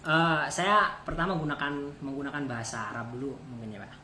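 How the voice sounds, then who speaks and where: An echoey, very noisy recording carries a young man from Banten, Indonesia, whose first language is Sundanese. He speaks at a middling pitch as an interview guest, giving halting introductions and explanations. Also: expressive. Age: early 20s. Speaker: interview guest, student contestant. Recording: echoey room, loud background noise.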